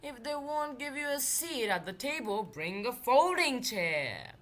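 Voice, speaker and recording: A wise, friendly congresswoman from a children's story, as a woman reads her. She sounds bright and assured, offering advice in a folksy way. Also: on the high side, sing-song, measured pace.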